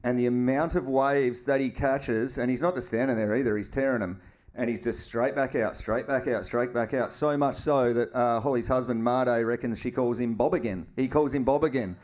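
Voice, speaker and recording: A man's voice: medium pitch, normal speed, a little mellow. A man hosting a live podcast, chatty and teasing in slangy banter. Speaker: podcast host.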